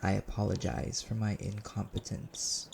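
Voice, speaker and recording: A man's voice: fairly low pitch, flat delivery, moderate pace, gravelly. A man playing a dutiful, subdued younger vampire brother and spy in an audio roleplay, formal and deferential. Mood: apologetic. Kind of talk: audio roleplay drama acting. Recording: very noisy amateur recording.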